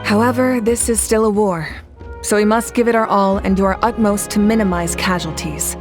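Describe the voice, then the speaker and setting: A composed, commanding female leader, voiced by a woman in a game, speaking at a mid pitch. She is measured and reassuring, with quiet authority in every sentence. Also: fairly even intonation, music in the background, close to an everyday voice. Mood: steady. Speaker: leader of a nation at war. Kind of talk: video game voice acting.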